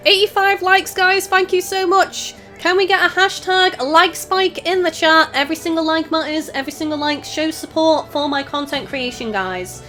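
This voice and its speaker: A woman's voice: very high-pitched, moderate pace, few pauses, pushed and bright, soft background music. A woman with a Northwest English Scouse accent touched by Welsh, chatting casually and reading out chat as a live gaming host in a raised, projecting voice. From Northwest England. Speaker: livestream host, gamer. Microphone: desktop USB microphone.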